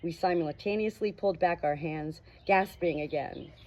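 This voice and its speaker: A woman with a slightly husky voice reading a novel aloud in an expressive, personal way. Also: lowish voice, normal speed. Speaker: audiobook reader. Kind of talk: casual introduction and expressive narration of a novel.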